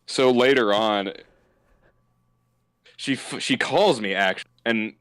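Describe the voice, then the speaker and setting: A sixteen-year-old boy telling a story in casual chat, animated and laughing, sometimes jumping into high put-on voices. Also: takes it slowly, dry recording, clean studio sound. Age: teens. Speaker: podcast co-host, storyteller.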